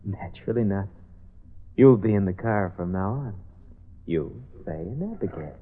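A cold insane killer. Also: male voice, lowish voice, lively intonation. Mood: menacing. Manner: cold, mocking, deliberate menace. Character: insane scheming killer, older brother, cold and cruel. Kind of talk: 1940s radio acting. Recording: lo-fi phone-like old radio recording.

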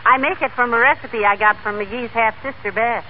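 An actress playing a cheerful housewife in a 1940s radio comedy, lively and quick to exclaim. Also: female voice, fairly high pitch, natural voice. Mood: chatty. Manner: lively, exclaiming, prone to giggles. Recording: muffled old radio broadcast with hiss.

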